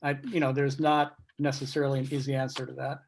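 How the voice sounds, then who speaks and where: A man talking casually as a guest on a video call, reflective and measured, often posing questions. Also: medium pitch, little pitch movement, vocal fry, a little hiss or hum. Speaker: guest speaker, baseball writer. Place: remote video meeting. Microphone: video call microphone.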